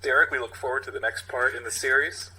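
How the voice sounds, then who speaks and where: A man's voice: mid-range voice, steady tone, quick pace, sharp tone, slight background noise, low-quality recording. A man co-hosting a podcast, chatting casually and reading out script directions. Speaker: podcast co-host.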